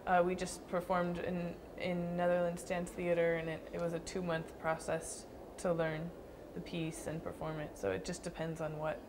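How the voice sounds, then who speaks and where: A young woman dancer answering interview questions thoughtfully, with frequent pauses and restarts. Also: fairly low pitch, monotone, noisy background. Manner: thoughtful, hesitant with fillers and restarts.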